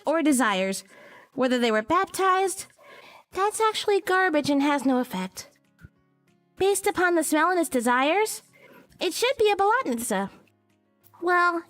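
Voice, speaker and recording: A young priestess girl from a video game, with a very high voice that moves around a lot in pitch. She explains her spellcraft in a confident, matter-of-fact way. Faint music plays behind her. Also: fairly bright tone, full character voice. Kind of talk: visual novel game dialogue.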